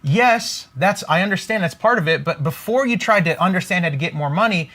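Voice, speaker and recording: A man's voice: sits high, animated, fairly quick, fairly bright tone. A man giving a motivational business training at a whiteboard, emphatic and talking straight to his viewers, often checking in with "right?" and "okay?". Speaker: business coach. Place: room with a whiteboard.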